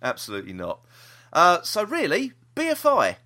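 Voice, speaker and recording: A British man with a bright, lively voice hosts a casual podcast. His pitch moves around a lot as he rambles through the news and gives his opinions freely. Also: moderate pace. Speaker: podcast host. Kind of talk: casual chat about TV news.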